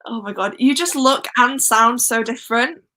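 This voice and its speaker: A young woman from Wales with a Welsh accent, Welsh her first language, chatting excitedly on a live stream. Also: fairly high pitch, normal speed. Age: young adult. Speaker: host. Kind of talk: casual live-stream chat.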